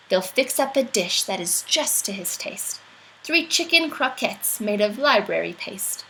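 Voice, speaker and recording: A woman's voice: fairly high pitch, a bit bright, slight background noise, low-quality recording. A woman reading a rhyming children's picture book aloud in a playful, theatrical storytelling manner.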